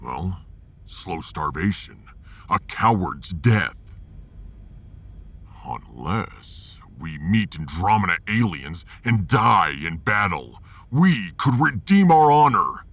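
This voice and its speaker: Big scary honor-bound space warrior, really deep and raspy, blunt. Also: male voice, big pitch swings, a bit bright, heavily acted. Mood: grim, fierce. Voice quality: gruff, husky. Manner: slow, blunt, menacing. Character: huge, violent, honor-bound male warrior, grimly menacing. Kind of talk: dramatised sci-fi audio drama.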